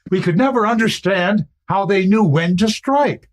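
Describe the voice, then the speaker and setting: A man's voice: high-pitched, moderate pace, lightly acted. A man playing a baffled, plain-spoken Old West townsman in a western radio play.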